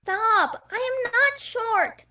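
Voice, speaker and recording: A woman's voice: extremely high pitch, lively intonation, takes it slowly, sharp tone, pitched above the performer's own voice, heavily acted. A woman voicing a feisty but obedient young girl, a little sister, in a hobby table read. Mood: whiny, indignant.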